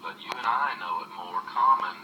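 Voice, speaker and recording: A man's voice: low voice, normal speed, muffled recording, a little hiss or hum. An older man reading a children's storybook aloud as a grandfather would to a child. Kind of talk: storybook narration read for a child. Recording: roomy, distant home recording with music. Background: music.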